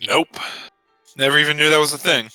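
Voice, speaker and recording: Male game player, slightly high, very bright voice, casual banter. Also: flat delivery, brisk, clear, resonant tone, no echo, faint music behind, poor audio.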